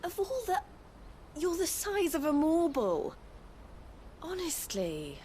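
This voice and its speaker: A woman's voice: extremely high pitch, wildly swooping pitch, takes it slowly, a little mellow, a little hiss or hum, exaggerated voice. An actress playing a shrewd, composed female merchant leader who speaks formally and articulately in a fantasy video game. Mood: dryly exasperated. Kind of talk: scripted fantasy game dialogue.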